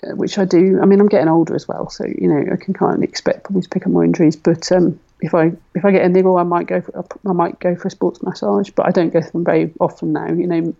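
Woman, forties, gravelly and flat-toned, muffled lo-fi line; relaxed interview guest who thinks aloud. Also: on the low side, quick pace, few pauses. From Britain. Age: 40s. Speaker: guest athlete. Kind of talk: casual conversational interview.

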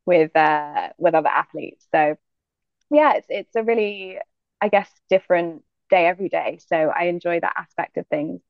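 Gravelly low-voiced woman athlete. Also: normal speed, dry recording, phone-quality sound. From Jamaica. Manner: relaxed, thoughtful, many fillers. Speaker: guest athlete. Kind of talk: interview answers.

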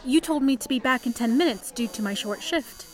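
A woman's voice: sits high, animated, brisk, a crowd in the background. An actress playing a young, eager, obedient trainee switchboard operator in a period radio play. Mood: eager, polite. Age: young adult.

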